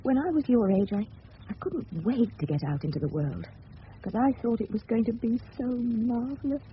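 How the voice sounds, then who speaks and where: A woman's voice: fairly high pitch, expressive, moderate pace, close to an everyday voice. An actress playing a fragile, disillusioned married Englishwoman in a radio drama, speaking falteringly and tenderly. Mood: bitter, tearful. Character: fragile, disillusioned married woman, the boy's neighbour. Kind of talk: 1970s radio play acting. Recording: muffled, faintly hissy old radio broadcast.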